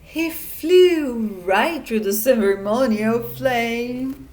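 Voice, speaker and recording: Very slow, high-voiced woman storyteller. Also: a little mellow, a little hiss or hum. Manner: dramatic, with emphatic pauses. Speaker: storyteller reading aloud. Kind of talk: expressive children's picture book narration.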